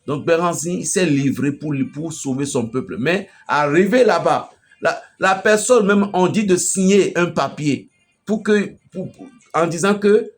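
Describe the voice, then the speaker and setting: A man from Benin with a Beninese French accent, preaching an emphatic, storytelling message to a fellow pastor. His voice swells loudly at the climaxes. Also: fairly high pitch, a little slow. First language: a local Beninese language.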